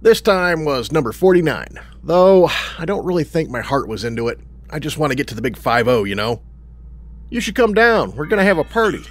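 An actor playing a jaunty, blasé, chatty regular customer with a reckless streak in a comedy audio drama. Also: male voice, a little high, sing-song, brisk. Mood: breezy. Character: breezy, cheerfully reckless, chatty regular customer named Charlie.

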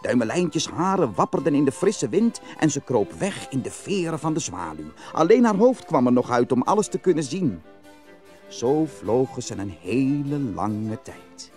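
A man's voice: mid-range voice, darkish tone. A man narrating a fairy tale as a scripted storyteller.

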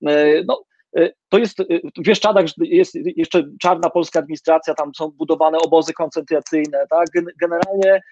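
Halting man on a dull video call. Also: a little high, steady tone, darkish tone. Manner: halting, many fillers and restarts. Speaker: interviewer. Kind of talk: hesitant literary interview questions. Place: home room with bookshelves.

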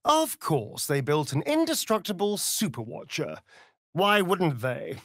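An actor voicing a vain, sardonic vampire elf rogue in a video game, with an arch, drawling, theatrical delivery. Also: male voice, fairly high pitch, huge pitch swings, a little slow, a bit bright, no echo, studio quality, full character voice. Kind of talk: video game character voice lines.

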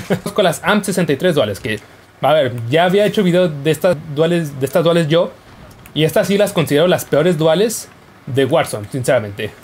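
Lively playful young man gaming. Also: a little high, fairly quick, a little hiss or hum. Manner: playful, jokey, yelps and laughs while playing. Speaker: gaming YouTuber. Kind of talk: casual gameplay commentary.

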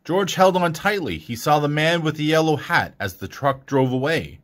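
A man reading a children's picture book aloud in an expressive storytelling manner. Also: fairly high pitch, moderate pace. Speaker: storybook reader.

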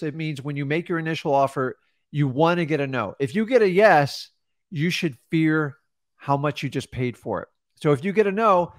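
A middle-aged man hosting a studio podcast, coaching viewers in a forceful, direct way. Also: a little high, no echo. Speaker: host. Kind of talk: casual podcast coaching talk. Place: studio with backdrop. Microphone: studio mic on boom arm.

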